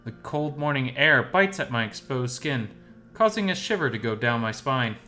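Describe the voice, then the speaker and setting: A man's voice: mid-range voice, expressive, moderate pace, bright, forceful tone, soft background music. A man reading scripted first-person story narration as the narrator.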